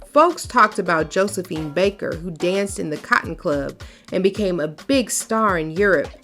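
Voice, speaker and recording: A woman reading a picture book aloud for children in an expressive, folksy storyteller's way, then talking to her young viewers. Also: fairly low pitch, normal speed, soft background music.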